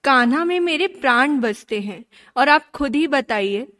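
Woman playing devoted princess, high-pitched, earnest, gentle, steadfast, pleading, radio drama. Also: lively intonation, a little slow. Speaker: actor in a student radio drama. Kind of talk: radio drama acting in Hindi.

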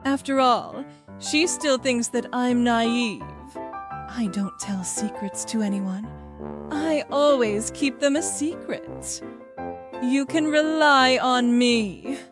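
Woman doing little girl pony, naive n eager, takes it real slow, music behind. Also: a little high. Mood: eager, reassuring. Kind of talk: fan comic dub.

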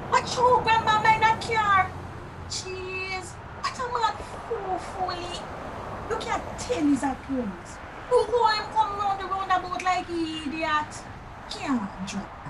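A woman's voice: very high voice, animated, slow, exaggerated voice. A woman playing a sulky, complaining young granddaughter with a Jamaican accent in a radio drama, whiny and questioning. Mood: sulky, irritated. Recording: distant voice, clear traffic noise.

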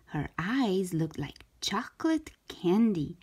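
A woman reading a storybook aloud for young children in an expressive, deliberate way, stretching out key words. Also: medium pitch, breathy edge. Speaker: children's storybook reader, show host.

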